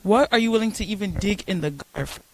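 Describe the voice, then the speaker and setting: A woman answering as a panel guest, speaking in a hesitant, halting way with pauses and fillers. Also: mid-range voice, dry recording, rough recording.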